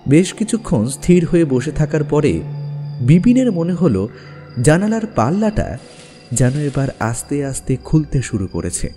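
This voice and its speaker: Mellow male suspense narrator in deep reverb. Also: sing-song, normal speed. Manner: dramatic, suspenseful. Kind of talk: scripted suspense story narration. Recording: long reverb over background music. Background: music.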